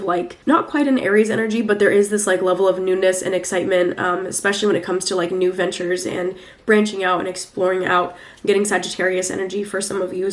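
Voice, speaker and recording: A young woman with a stuffy, nasal voice from a recent flu. She talks through a tarot reading in a loose, chatty way and trails off into fillers. Her pitch sits in a middling range. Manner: chatty, rambling, many fillers. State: congested from the flu. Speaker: tarot reader. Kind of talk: casual weekly tarot reading.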